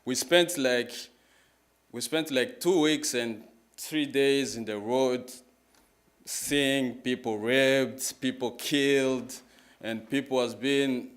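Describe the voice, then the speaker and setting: A man in his twenties with a Congolese accent giving a personal testimony as a public talk, halting and repeating his phrases in plain words. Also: mid-range voice. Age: 20s. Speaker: guest speaker at a church, sharing testimony.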